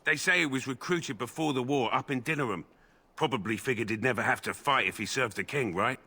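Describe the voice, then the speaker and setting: A man's voice: medium pitch, a little fast, pushed and bright. An actor playing a blunt, sardonic army guard who grumbles about his duty, in a video game. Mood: resentful. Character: grumbling, callous male army guard with grudging decency.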